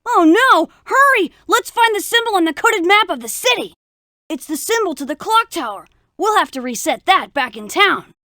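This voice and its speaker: A woman voicing an eager, excitable young rookie adventurer in a children's computer game, gushing and exclaiming. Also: very high voice, moderate pace, no room sound, studio quality, heavily acted. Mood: urgent.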